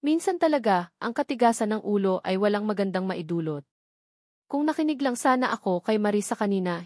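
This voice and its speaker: A woman's voice: medium pitch, a little mellow, no room sound, clean studio sound. A woman with a Filipino accent reading a first-person story as a scripted audiobook narration.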